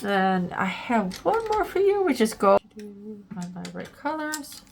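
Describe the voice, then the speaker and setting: A woman's voice: medium pitch, big pitch swings, slow pace, a little hiss or hum. A woman hosting a casual art tutorial, a little congested and plugged up, chatting and thinking aloud as she works, with lots of ums and likes. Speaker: art channel host.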